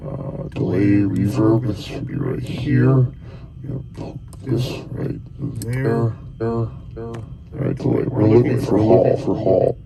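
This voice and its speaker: A man with a low, gravelly voice walks through settings in a casual, step-by-step tutorial. He thinks aloud as he presses buttons and often restarts his phrases. Crowd chatter murmurs in the background. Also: fairly even intonation, takes it slowly. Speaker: costuming hobbyist giving a tutorial.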